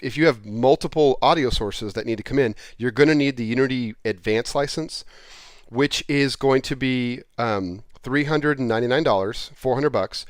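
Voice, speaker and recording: Man, medium pitch, informal presenter, conversational, explanatory, wandering into asides, dry recording. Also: no room sound. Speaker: presenter explaining software licenses. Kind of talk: informal product walkthrough.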